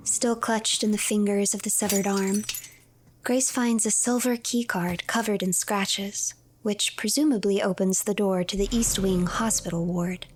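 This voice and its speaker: A woman narrating a game's story in a measured, scripted storytelling manner. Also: mid-range voice, steady tone, a little hiss or hum. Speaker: streamer narrator. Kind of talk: scripted storybook-like narration of a game, third person.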